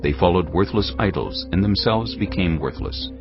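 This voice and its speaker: A male narrator reading scripture in a measured, grave storytelling manner. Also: low-pitched, big pitch swings. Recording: dull lo-fi sound over cinematic music. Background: cinematic music.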